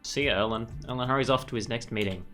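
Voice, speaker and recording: A man's voice: medium pitch, fast talker, over music. A young man hosting a gaming video, giving casual walkthrough commentary and reading game dialogue aloud.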